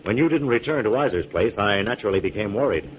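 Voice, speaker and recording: An actor playing a calm, gallant scientist crime-fighter in 1940s radio drama style. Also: male voice, fairly low pitch, quick pace, lightly acted. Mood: earnest, explanatory. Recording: muffled, noisy 1940s radio recording.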